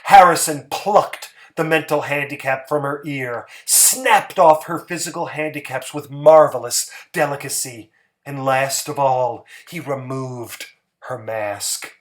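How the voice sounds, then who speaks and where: A man giving a dramatic, scripted story reading as narrator, measured and emphatic. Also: mid-range voice. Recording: music bed under the voice.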